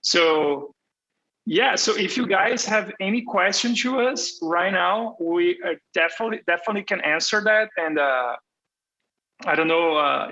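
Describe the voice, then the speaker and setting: A man's voice: high-pitched, bright, forceful tone, muffled recording. A man presenting casually on a live online panel, joking and teasing as he explains things. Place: online video call.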